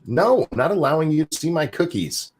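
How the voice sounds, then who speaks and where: A man hosting a podcast, chatting casually and reading news articles aloud with a jokey, animated delivery. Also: fairly high pitch, a little fast, husky. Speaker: podcast host.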